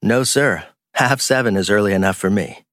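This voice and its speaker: A man's voice: on the low side, normal speed, a bit bright, dry recording, clean studio sound, natural voice. A man voicing a polite, guarded working man, matter-of-fact, in an audiobook story. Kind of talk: acted audiobook dialogue.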